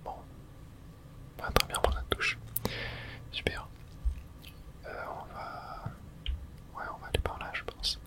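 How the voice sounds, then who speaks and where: A person whispering a breathy, unvoiced ASMR running commentary while playing a video game, in a soft, hesitant murmur. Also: takes it slowly, long pauses. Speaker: gaming video host.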